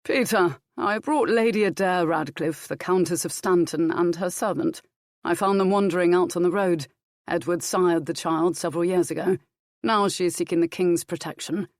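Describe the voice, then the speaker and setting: A woman's voice: fairly low pitch, no echo, natural voice. Woman voicing a commanding, kindly duke, very dry sound. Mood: authoritative. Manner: measured, formal, authoritative. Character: commanding, protective royal duke, calm and kindly.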